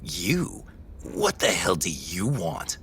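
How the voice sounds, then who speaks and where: An actor playing a bitter, furious jailed mob uncle in a crime audio drama, menacing and foul-mouthed. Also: male voice, deep voice, wildly swooping pitch, slow, fairly bright tone, slight background noise, full character voice. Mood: hostile contempt. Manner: menacing, profane, explosive.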